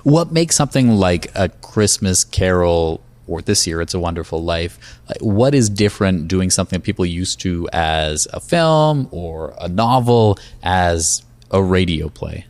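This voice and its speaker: A man's voice: sits low, lively intonation, unhurried, a little mellow, no echo. A man hosting and interviewing for a radio programme. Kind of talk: interview with scripted introduction.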